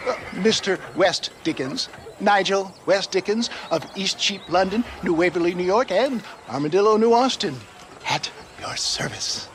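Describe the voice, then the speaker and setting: Man playing a pompous, high-pitched old snake-oil salesman. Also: moderate pace, slight background noise, lightly acted. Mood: grandiose, courtly. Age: older. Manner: florid, pompous, theatrical orator.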